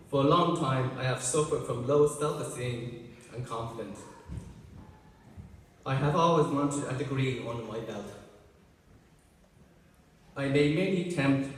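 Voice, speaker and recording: A middle-aged Irish man delivers a prepared speech slowly and deliberately, each phrase set apart. He is heard from far off in a large ceremony hall. His voice trails into a long, roomy reverb. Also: medium pitch. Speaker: graduate giving a speech.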